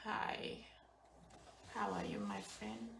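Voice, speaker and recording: A woman's voice: medium pitch, steady tone, slow pace, vocal fry, recorded from a distance. A woman playing a needy, silly old male potato puppet in an improvised puppet show, exclaiming, hooting and drawing out words plaintively. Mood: silly, curious. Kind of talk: improvised puppet play with a small child. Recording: echoey, noisy home recording from afar.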